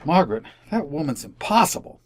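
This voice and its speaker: Suburban husband for radio comedy, played by a man: placating but easily exasperated, hedging, very animated intonation. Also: fairly high pitch, a little fast. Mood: indignant. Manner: stammering, hedging, pleading. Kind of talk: old-time radio sitcom acting.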